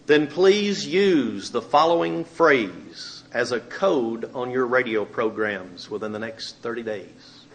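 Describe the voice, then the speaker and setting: A man's voice: medium pitch, fairly bright tone. A man, a magazine editor and radio minister, giving an emphatic dramatic reading of a letter.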